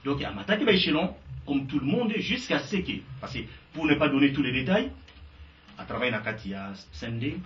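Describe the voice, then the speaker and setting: A man's voice: fairly high pitch, fairly bright tone, a little hiss or hum. A man with a Congolese French accent giving emphatic interview answers and mixing in Lingala. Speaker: guest. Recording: echoey, distant, dull sound.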